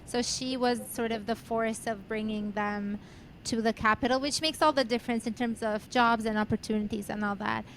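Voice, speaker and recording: A woman's voice: a little high, steady tone, normal speed, slight background noise, rough recording. A woman from Albania co-hosting a podcast in a casual, conversational style. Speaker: podcast co-host.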